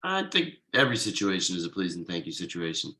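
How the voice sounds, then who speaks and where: A man playing Thomas, an earnest, frugal writer who gets excited easily, in a table read of a TV pilot script. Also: deep voice, fast, heavily acted. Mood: earnest, questioning.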